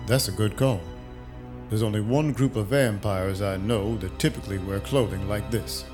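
A man's voice: fairly low pitch, fairly even intonation, normal speed, lightly acted. An actor playing a wry, hard-boiled vampire detective in an audio drama, dry, matter-of-fact and clipped in his delivery. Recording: music under the voice. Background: music.

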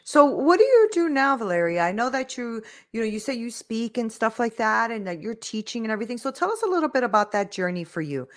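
Lively woman podcast host. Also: on the high side, quick pace, few pauses. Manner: encouraging, frequent affirmations, preachy asides. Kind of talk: casual interview talk.

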